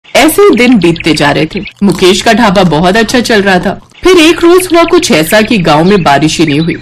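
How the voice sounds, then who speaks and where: A woman's voice: mid-range voice, very animated, moderate pace, a little hiss or hum. A woman narrating a scripted moral story as a storyteller.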